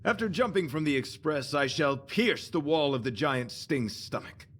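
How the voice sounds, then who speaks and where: A man's voice: fairly high pitch, animated, normal speed, fairly bright tone, slight background noise. A man voicing a noble, devout young knight in a video game, speaking in formal, flowery, courtly phrases over music. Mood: earnest, gentle. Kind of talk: theatrical video game voice acting.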